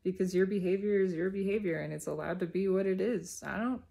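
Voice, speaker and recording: A woman giving an unscripted, reflective spiritual monologue in deliberate, halting phrases with emphatic repetition. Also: mid-range voice, gravelly, warm tone. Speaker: speaker sharing personal spiritual reflections.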